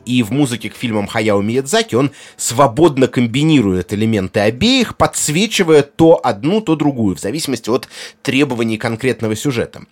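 Man narrating with very lively, swooping intonation. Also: mid-range voice. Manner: erudite, articulate, with conversational asides. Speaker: presenter of a film music video essay. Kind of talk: explanatory video essay narration.

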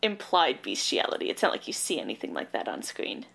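A woman's voice: rumbling low, huge pitch swings, brisk, creaky, a bit bright, slightly rough. A young woman from Canada, co-hosting a podcast in casual chat, talking in a blunt, wry, conversational way. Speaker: podcast co-host.

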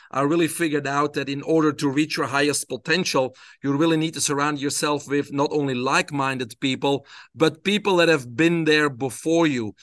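A man from Belgium, a guest on a podcast, tells his life story in a relaxed way with frequent hesitations. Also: a little high, no room sound, studio quality. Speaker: interview guest. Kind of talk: casual storytelling in an interview.

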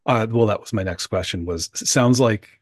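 A man's voice: lowish voice, normal speed, no room sound, studio quality. A man co-hosting a podcast, asking questions in a wry, conversational way. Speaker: podcast co-host. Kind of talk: casual interview chat.

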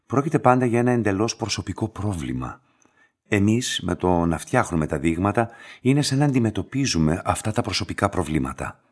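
An actor playing a composed, courteous and enigmatic laboratory director in a radio play, speaking in a measured, formal way. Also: male voice, lowish voice, sing-song. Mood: calm, explaining. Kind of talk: scripted radio drama dialogue.

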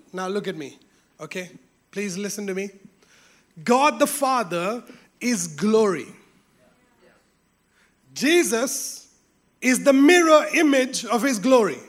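A man preaching a sermon with emphatic repetition, rising to exclamations and dropping to hushed, intense tones. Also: very high voice. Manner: emphatic, repeats phrases, drops to hushed tones.

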